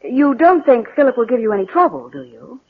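A woman's voice: on the high side, very animated, brisk. A woman playing an anxious, demanding partner in crime in a 1940s radio thriller. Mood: conspiratorial. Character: discontented female accomplice in a scheme, anxious, demanding. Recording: old muffled lo-fi radio recording.

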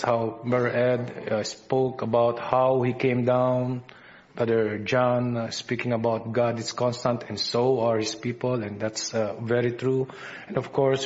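A man with a Filipino accent preaches a sermon to a congregation, stopping often with fillers and restarting his sentences. His voice sits at an ordinary middle pitch. The church recording sounds a little dull and lo-fi. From Philippines. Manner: halting, many fillers and restarts. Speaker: visiting preacher. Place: church service.

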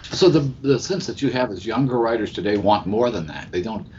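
A man's voice: lowish voice, expressive, fairly quick, darkish tone. A man co-hosts a conversational discussion, putting long, winding points and questions to guests. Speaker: podcast co-host. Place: live convention recording in a hotel. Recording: dull lo-fi sound, faint background noise.

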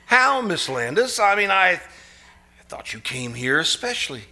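A man's voice: high voice, very animated, unhurried, bright, forceful tone, heavily acted. A man playing a morbid, insistent, nervy schemer in a live stage re-creation of a 1940s radio suspense play, with a theatrical, coaxing delivery. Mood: desperate pleading. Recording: live performance recording with music behind.

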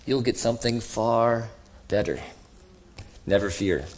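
A man reading as a gentle, cheerful higher-voiced spirit. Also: unhurried, lightly acted. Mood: gently urging. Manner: gentle, patient, lightly amused. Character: gentle, cheerful redeemed male spirit, patient and humble. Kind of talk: storybook character reading.

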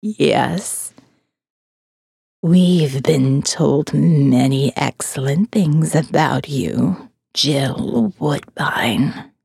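A woman voicing a reanimated female corpse in an audio drama, breathy and halting, with solemn, formal courtesy. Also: sits low, darkish tone, no echo, studio quality, pitched below the performer's own voice, heavily acted. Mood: solemn, courteous. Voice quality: breathy, whispery, creaking. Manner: slow, halting, stiff-lipped, formal.

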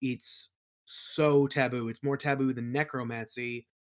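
A man chatting informally as an interview guest, explaining his creative work in a halting, think-aloud way. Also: mid-range voice, steady tone. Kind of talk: casual chat, explaining own creative work.

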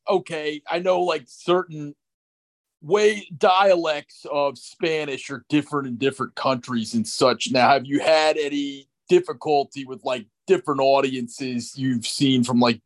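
A man hosting a podcast, asking guests questions in a hesitant way and rephrasing as he goes. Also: medium pitch. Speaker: podcast host. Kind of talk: casual podcast interview.

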